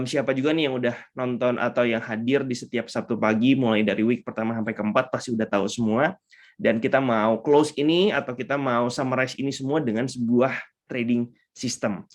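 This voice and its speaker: Male mentor, hoarse and stuffy-nosed, upbeat online lecturer, mid-pitched, video-call mic. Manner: upbeat, mixes English terms, frequent fillers. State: hoarse and congested. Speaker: trading mentor.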